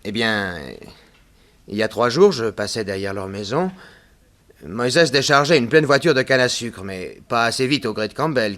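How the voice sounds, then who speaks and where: Man acting a measured, sly plantation witness. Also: mid-range voice, a bit bright, lightly acted. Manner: measured, explanatory storytelling. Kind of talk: 1960s French radio drama acting.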